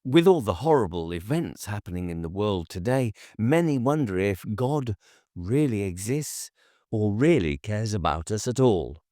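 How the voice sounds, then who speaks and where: A man voicing an earnest, nervous young male preacher who speaks brightly and stammers. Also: on the low side, very animated, normal speed, dry recording, studio quality, pitched above the performer's own voice.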